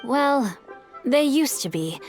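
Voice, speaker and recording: A woman's voice: high voice, lively intonation, takes it slowly, soft background music. A woman voicing a courteous, bookish teenage boy in an anime game, speaking in an articulate, explanatory way. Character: scholarly, courteous teenage boy who loves stories.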